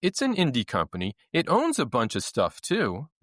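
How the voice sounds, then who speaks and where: A man's voice: medium pitch, wildly swooping pitch, moderate pace, fairly bright tone, no room sound, clean studio sound. A man co-hosting a podcast, casually chatting and reading items off packaging with a wry manner. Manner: wry, reads items off lists. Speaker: podcast co-host.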